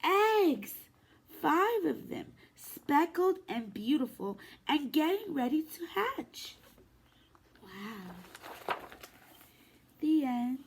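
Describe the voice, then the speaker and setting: High, sing-song woman reading to little kids. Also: extremely slow, pauses often, a little hiss or hum. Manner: sing-song, expressive, addressing young children. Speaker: preschool teacher. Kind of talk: children's story time read-aloud.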